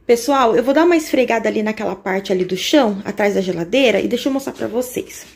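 A woman's voice: on the high side, expressive, quick pace, gravelly, over music. A woman in her thirties chatting casually to her viewers while cleaning a small home kitchen, filming on a handheld phone and checking in often with "okay?". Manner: chatty, uses lots of diminutives and "tá?". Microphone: handheld phone camera.

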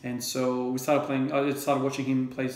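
A man's voice: medium pitch, completely flat delivery, moderate pace, a little mellow, reverberant. A man in his thirties from Croatia, answering viewer questions to camera in a casual, rambling way with many fillers and repeated words. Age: 30s. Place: small room at home with shelves. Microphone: camera or laptop at desk distance.

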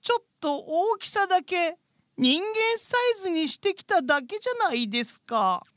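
A man's voice: very high voice, sing-song, moderate pace, fairly bright tone, dry recording, clean studio sound, exaggerated voice. A man voicing a theatrical, human-sized, chatty spider monster in a radio comedy drama. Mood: indignant.

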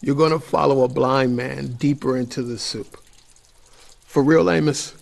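An actor playing a skeptical, jumpy young man in a radio drama, suspicious and halting. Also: medium pitch, steady tone, vocal fry. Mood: doubtful. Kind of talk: post-apocalyptic radio drama acting.

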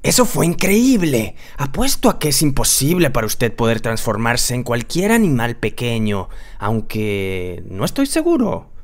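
A performer voicing a crafty, flattering male cat servant in a children's cartoon fairy tale, theatrical and courtly. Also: medium pitch, unhurried, hardly any pauses. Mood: sly, flattering. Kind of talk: children's cartoon storybook acting.

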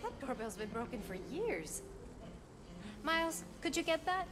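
An actress playing a warm, caring middle-aged mother in a video game, speaking affectionately. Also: sits high, big pitch swings, measured pace, over loud music. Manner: affectionate, motherly, slips into Spanish endearments. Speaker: game character.

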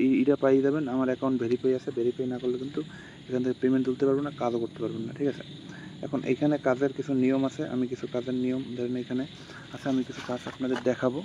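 A man rattles quickly through a phone tutorial, keeping his pitch flat and repeating himself as he goes. The sound is dull and lo-fi, as if captured on a phone mic. A steady insect-like hum sits clearly in the background. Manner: rambling, repeats "ঠিক আছে" between steps. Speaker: tutorial host. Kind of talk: casual screen-recorded walkthrough.

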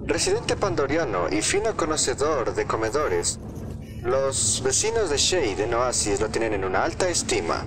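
A man's voice: medium pitch, big pitch swings, moderate pace, music in the background. A man with a Latin American Spanish accent voicing a theatrical, macabre museum announcer, reading florid tour narration for a game dub. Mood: theatrical, presentational. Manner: florid, presentational.

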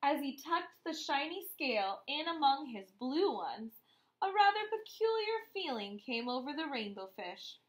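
Woman reading a picture book out loud, high voice, takes her time, really expressive. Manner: deliberate, emphatic. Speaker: storybook reader for children. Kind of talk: expressive children's read-aloud narration. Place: small room at home, indoors.

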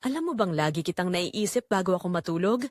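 A woman's voice: fairly low pitch, animated, fast, dry recording, studio quality, close to an everyday voice. A woman voicing a flirtatious, persistent male online suitor, coaxing and romantic, in an audio drama. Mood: longing.

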